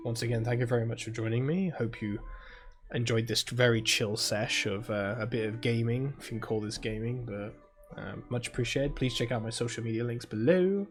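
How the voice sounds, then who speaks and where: Soft-spoken British man, chilled delivery. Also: mid-range voice, fairly quick, soft background music. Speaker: gaming video host. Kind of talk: reading visual novel text aloud with casual asides.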